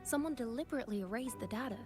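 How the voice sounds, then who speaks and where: A woman's voice: a little high, animated, rapid-fire, natural voice. A woman voicing a curious, persistent researcher in a video game, recounting what she knows in a thoughtful way. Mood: intrigued, thoughtful. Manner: thoughtful storytelling. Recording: game dialogue over clear music.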